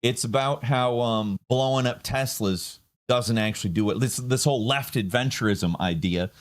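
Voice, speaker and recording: A man's voice: medium pitch, moderate pace. A man giving casual political commentary, sardonic and rambling. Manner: sardonic, rambling with fillers and restarts.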